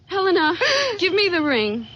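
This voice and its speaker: High-voiced, stubborn young woman. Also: lively intonation, moderate pace. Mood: earnest, uneasy. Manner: defensive, evasive. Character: stubborn, soft-hearted young woman arguing with her partner. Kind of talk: radio drama acting. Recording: dull, noisy old radio drama recording.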